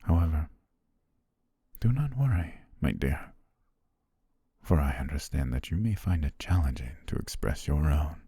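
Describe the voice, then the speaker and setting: A very low, gravelly, husky voice: a man playing a formal, devoted butler murmuring to his princess bride, slow and hushed with a hint of quiet possessiveness. It sounds close and completely dry, like an intimate audio drama. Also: animated, dry recording, full character voice. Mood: tender, hushed. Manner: soft, formal, half-whispered delivery. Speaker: ASMR roleplay voice actor. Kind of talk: intimate ASMR roleplay monologue.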